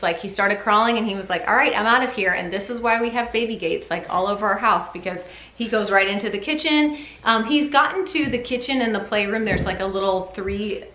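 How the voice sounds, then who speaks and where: A woman with a medium-pitched voice chats informally as a parenting vlogger. She rambles along in a giggly, conversational way. The sound is noticeably roomy, recorded in a bedroom at home. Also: fairly bright tone. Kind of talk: casual chatty vlog. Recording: echoey room.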